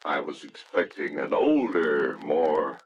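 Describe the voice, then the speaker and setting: A man's voice: extremely low pitch, sing-song, slow, lo-fi sound, background noise, full character voice. A man playing a courteous, chatty hotel clerk in an old-time radio drama.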